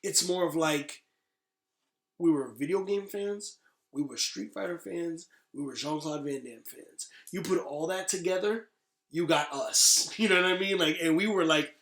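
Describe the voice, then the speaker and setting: Man in his thirties, slightly high pitch, strong room echo, casual podcast chat, emphatic, repetitive phrasing, opinionated. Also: measured pace, a bit bright. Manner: emphatic, repeats phrases for stress. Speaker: podcast co-host. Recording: roomy, echoey sound.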